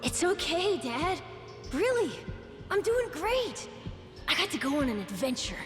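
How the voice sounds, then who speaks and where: A woman voicing an earnest, brave, sickly young boy in a video game, gentle and slightly hesitant. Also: very high-pitched, big pitch swings, measured pace, exaggerated voice. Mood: reassuring. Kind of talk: scripted video game cutscene acting. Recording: echoey hall with faint music.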